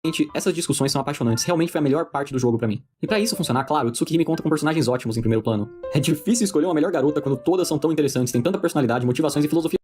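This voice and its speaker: Very fast-talking Brazilian man over faint music. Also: a little high, a little mellow. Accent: Brazilian Portuguese. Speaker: video essayist. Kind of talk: scripted personal video essay narration.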